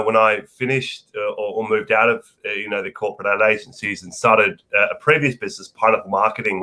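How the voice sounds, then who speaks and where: Australian man, stuffy nose from a cold, low voice, rambling with lots of fillers, casual guest chat. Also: pushed and bright. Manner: rambling, many fillers and restarts. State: nasal from a head cold. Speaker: podcast guest.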